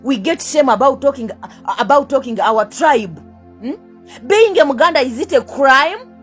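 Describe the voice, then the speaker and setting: A woman's voice: high-pitched, animated, moderate pace, fairly bright tone, soft background music. A young Ugandan woman with a Ugandan accent in a heated rant to camera. She keeps firing the same rhetorical questions, swears at her critics and switches between two languages. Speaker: vlogger.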